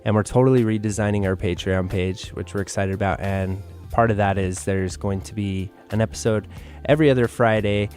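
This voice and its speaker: A man's voice: lowish voice, fairly even intonation, a little fast, darkish tone. Casual male podcast co-host over music.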